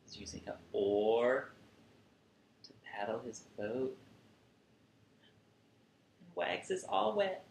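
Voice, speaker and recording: A man in his thirties reading a picture book aloud to young children, expressively, with drawn-out words, recorded indoors at a little distance from the mic. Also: mid-range voice, big pitch swings, gravelly. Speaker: children's museum storyteller. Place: small furnished room at home, indoors. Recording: roomy sound with faint background noise.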